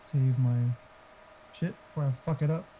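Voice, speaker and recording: A man's voice: a little high, moderate pace, mellow. A man chatting casually in an informal, explanatory way, like someone helping a friend during a game. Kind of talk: casual chat while gaming. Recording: hissy, noisy voice chat.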